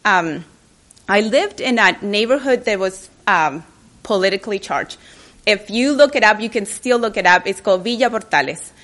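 A woman's voice: medium pitch, animated, normal speed, a bit bright. A woman gives a personal keynote speech at a library event, chatty and openly nervous, often restarting her sentences. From Chile. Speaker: keynote speaker.

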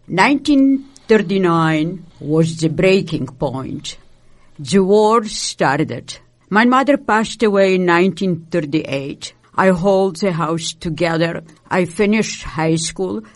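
An elderly woman from Poland, a native Yiddish speaker with a Polish Yiddish accent, giving personal testimony in deliberate, halting phrases. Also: on the low side. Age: 70s or older. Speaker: Holocaust survivor giving testimony.

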